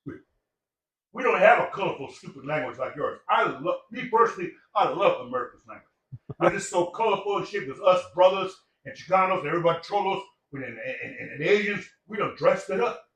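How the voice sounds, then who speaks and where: A man's voice: high-pitched, lively intonation, brisk, fairly bright tone, far from the mic. Profane, preachy older man in an echoey room. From Samoan heritage. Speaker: podcast guest. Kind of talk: casual chat.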